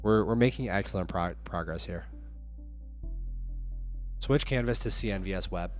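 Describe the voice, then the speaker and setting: Hoarse guy on a coding livestream, hyped up, talking to chat, little music in the back. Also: deep voice, normal speed. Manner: upbeat, hype-driven, addresses viewers directly. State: hoarse, losing his voice. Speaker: livestreaming app developer.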